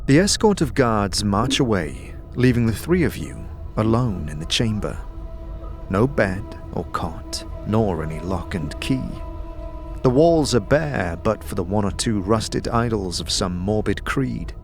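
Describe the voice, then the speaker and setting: A man narrating a scripted second-person fantasy story as an atmospheric, measured storyteller. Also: lowish voice, expressive, soft background music.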